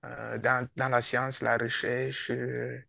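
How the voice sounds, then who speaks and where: A man's voice: medium pitch, completely flat delivery, slow, creaky, pushed and bright, no echo. A man hosting an interview over a video call, asking questions in a hesitant way with many fillers. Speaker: interviewer host.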